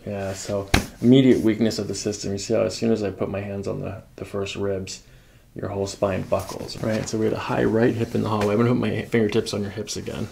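A man's voice: lowish voice, level tone, quick pace, vocal fry, noisy background. A man in his thirties working as a chiropractor, talking a patient through a hands-on exam with instructions and short check-in questions. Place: small carpeted clinic treatment room. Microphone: microphone on a boom arm near the table.

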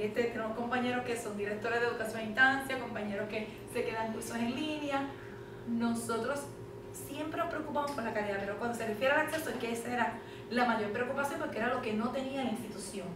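A middle-aged woman, speaking as a panel moderator in a fluent, emphatic lecturing manner. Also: fairly high pitch. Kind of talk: conference presentation. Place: classroom, indoors. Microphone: far camera. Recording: echoey classroom from far off, noisy.

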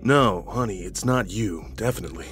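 A man's voice: lowish voice, moderate pace, a bit bright, soft background music, natural voice. A man playing an overprotective, dismissive young husband in a horror audio drama, insistent and curt. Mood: suspicious.

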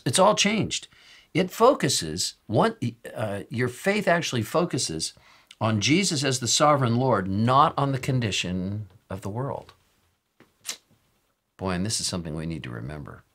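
Male pastor, 60s, American, low and a touch raspy, very animated pitch, earnest and emphatic teaching delivery. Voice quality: a little raspy. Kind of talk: informal sermon teaching. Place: office or study with bookshelves, indoors.

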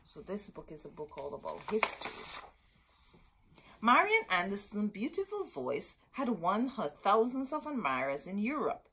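A woman's voice: medium pitch, huge pitch swings, moderate pace, a bit bright, a little hiss or hum, poor audio. A middle-aged woman reading a children's picture book aloud in a dramatic, emphatic storytelling manner. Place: indoor room with bookshelves.

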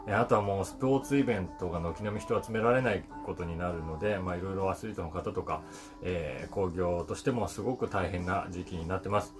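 A man, an actor, musing to camera in a relaxed, unhurried way with many fillers, recorded indoors on a close mic. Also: deep voice, over music. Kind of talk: casual vlog talk to camera. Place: indoors in front of a brick backdrop.

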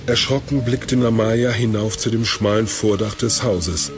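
A man's voice: on the low side, fairly even intonation, measured pace, loud music behind the voice. A man narrating an audio drama as a scripted storyteller.

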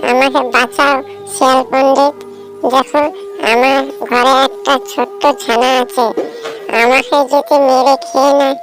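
A woman voicing a caring, anxious mother tailorbird in a children's cartoon fable, with drawn-out, pleading emphasis. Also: high-pitched, flat delivery, music in the background, low-quality recording. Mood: desperate pleading.

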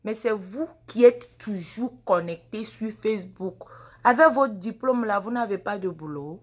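A woman's voice: medium pitch, unhurried. A woman in her forties, a native Mina speaker with a Mina accent, lecturing her followers in an impassioned, repetitive way on a phone held at arm's length in a large indoor waiting room. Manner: impassioned, lecturing, repeats words and questions. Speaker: social media personality. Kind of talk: live phone video monologue.

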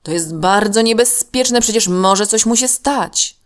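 A woman's voice: medium pitch, unhurried, voice pushed up, lightly acted. A woman voicing a serious, level-headed, worried young boy in a children's story, earnest and urgent.

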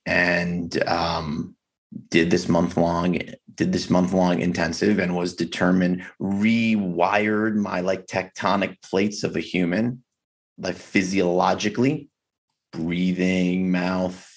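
Male podcast guest, deep husky voice, reflective and halting delivery. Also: level tone. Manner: reflective, halting, many fillers and restarts. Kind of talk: candid conversation. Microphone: video call.

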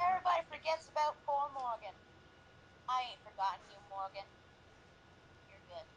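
A woman's voice: high voice, lively intonation, normal speed, fairly bright tone. A woman bantering casually as a panelist, brash and teasing, on a noisy online group call. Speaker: talk show panelist.

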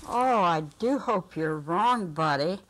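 Casting: woman to play a childlike, superstitious old woman, slightly low voice, folksy and earnest, for a staged story reading. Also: expressive, slow, a bit bright, heavily acted, rough recording. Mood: worried. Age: elderly. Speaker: dramatic reader. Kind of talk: dramatic reading of a short story. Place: small indoor stage set.

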